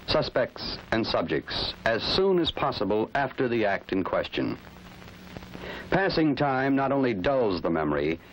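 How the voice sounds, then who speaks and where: A man's voice: mid-range voice, slight background noise, low-quality recording. A man with an American accent reading scripted instructional narration in a formal, didactic manner. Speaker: training film narrator.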